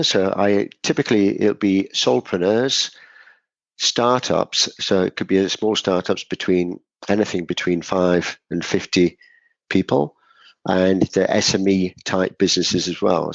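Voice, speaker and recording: A man's voice: sits low, creaky, no room sound, highs cut off. A middle-aged man from Scotland, a guest on an interview show, explaining his work at length with many "so"s and "kind of"s. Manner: explains at length with frequent "so" and "kind of", some restarts. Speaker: guest, business growth consultant. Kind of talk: interview answers.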